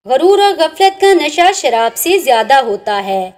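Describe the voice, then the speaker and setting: A high-pitched woman recites inspirational religious sayings at an unhurried, deliberate pace. Her delivery is measured and lilting, rising and falling widely through each line. Every saying settles onto a lower, quieter close. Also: very animated. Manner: measured recitation, each saying ends on a dropping, softer note. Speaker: narrator. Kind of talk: reading inspirational religious sayings over slides.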